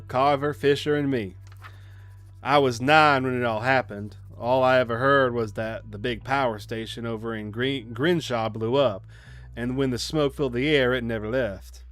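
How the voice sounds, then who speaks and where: A man voicing a haunted country boy recounting his childhood, reading visual novel narration plainly and a little haltingly. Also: mid-range voice, fairly bright tone, lightly acted.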